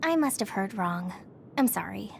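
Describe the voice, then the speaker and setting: A woman's voice: mid-range voice, animated, normal speed, vocal fry, a little hiss or hum. A woman playing an earnest, helpful young female soldier in a video game cutscene. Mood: hesitant, puzzled.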